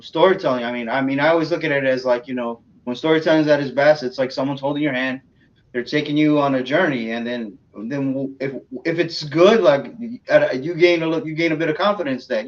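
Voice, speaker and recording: A man in casual conversation on a panel, thinking aloud in a reflective, halting way. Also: fairly high pitch. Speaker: interview panelist. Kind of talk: casual chat. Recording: distant, dull lo-fi call audio.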